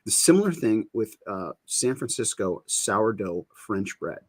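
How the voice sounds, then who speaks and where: A middle-aged man with a fairly low, husky voice hosts a loose chat, wandering off topic and restarting his sentences. The sound is dry and close, with no room around it. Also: very animated, a little slow, a little mellow, no room sound. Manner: rambling, self-interrupting, laughs often. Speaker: show host. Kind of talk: casual chat.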